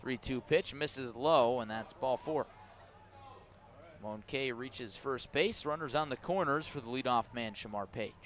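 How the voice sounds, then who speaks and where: Male baseball radio announcer, mid-range voice, measured play-by-play with dry asides, outdoor ballpark setting. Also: medium pitch, fairly bright tone. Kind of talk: live radio sports commentary.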